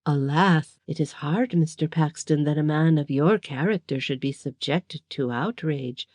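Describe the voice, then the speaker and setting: A woman's voice: sits low, a little slow, darkish tone, no echo, clean studio sound. A woman voicing a sinister foreign-accented male villain who speaks with a constant sneer. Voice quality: sneering, insinuating.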